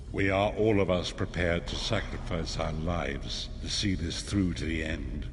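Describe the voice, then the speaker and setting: A man playing a solemn, resolute leader in a fantasy game, speaking in a grave, measured, formal way. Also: deep voice, noisy background. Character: solemn, resolute older male leader preparing heroes for battle. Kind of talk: dramatic fantasy game voice acting. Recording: long cavernous reverb under loud music.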